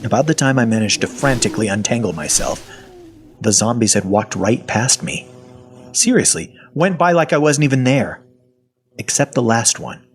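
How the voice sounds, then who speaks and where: Male narrator, an actor in role: self-deprecating everyman survivor, audio fiction storytelling, wry and folksy, very animated delivery at a mid pitch. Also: normal speed, close to an everyday voice. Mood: sardonic. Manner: folksy, conversational, sardonic. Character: wry, self-deprecating male zombie-apocalypse survivor recounting his ordeal. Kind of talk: first-person storytelling, dramatic audio fiction.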